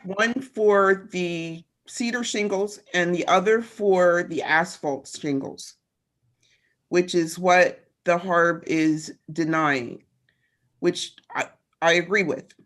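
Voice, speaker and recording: A woman city councilor on a video call who asks questions slowly and carefully, pausing often. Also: fairly low pitch. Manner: slow and careful, with pauses and fillers. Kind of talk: meeting discussion.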